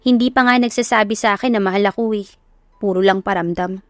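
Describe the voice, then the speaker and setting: Young romantic heroine acted by a woman: earnest, self-doubting, confiding, medium-pitched. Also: fast talker, no echo, soft background music, lightly acted. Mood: doubtful. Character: lovestruck, doubtful young woman from humble background.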